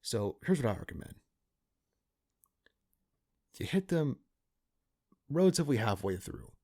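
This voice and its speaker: A man playing a cynical, pragmatic partner in crime to a vigilante in an ASMR roleplay, talking in a casual, halting, conspiratorial way. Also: mid-range voice, big pitch swings, mellow. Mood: businesslike planning. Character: cynical, pragmatic male criminal accomplice to a vigilante.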